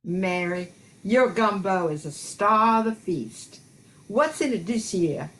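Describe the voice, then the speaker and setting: A kindly Cajun hostess of the bayou, played by a woman in an amateur radio play. Her voice is mid-pitched and her delivery slow. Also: lively intonation, rough recording. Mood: welcoming.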